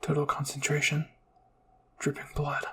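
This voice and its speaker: A man's voice: on the high side, completely flat delivery, moderate pace, pushed and bright, slightly rough, heavily acted. A man voicing the inner thoughts of a wounded young girl fighter, anguished and determined, in a dramatic fan fiction. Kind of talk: first-person inner monologue, ASMR fan fiction.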